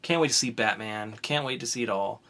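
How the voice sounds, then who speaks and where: A man co-hosting a casual comic book podcast, joking around and reading out credits with bouncy energy. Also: a little fast. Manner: jokey, bouncy, goes high and loud when excited. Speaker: podcast co-host. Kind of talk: casual comic review chat.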